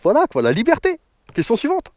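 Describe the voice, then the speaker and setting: High-voiced chatty biker man. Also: huge pitch swings, a little fast, a little mellow, no echo. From northern France. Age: 30s. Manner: joking, chatty, with slang. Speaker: motorcycle vlogger. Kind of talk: casual Q&A vlog. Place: outdoors beside his motorbike. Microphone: action camera mic.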